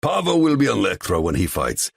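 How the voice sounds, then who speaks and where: A man's voice: lowish voice, wildly swooping pitch, brisk, gravelly, breathy edge, dry recording, clean studio sound, voice pushed up, full character voice. A man voicing a smug, tall foreign man with a slight accent who taunts and laughs. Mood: taunting. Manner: taunting, smirking.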